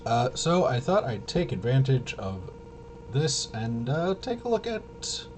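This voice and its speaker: A man chatting casually as a livestream host, halting, often interrupting himself and trailing off. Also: medium pitch, very animated, noisy background. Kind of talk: casual rambling livestream chat.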